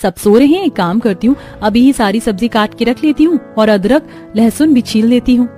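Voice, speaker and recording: A woman's voice: fairly high pitch, normal speed, warm tone, faint music behind, natural voice. A woman voicing an earnest, put-upon young daughter and new bride in a cartoon story. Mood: scheming, hopeful. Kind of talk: Hindi cartoon story dubbing.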